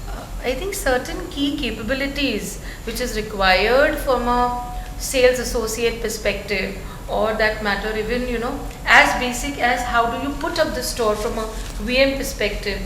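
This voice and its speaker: Loud woman on a panel. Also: a little high, moderate pace. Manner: loud, rambling, lots of "you know". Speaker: retail executive on a panel. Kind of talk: panel discussion. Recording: echoey room with clear background noise.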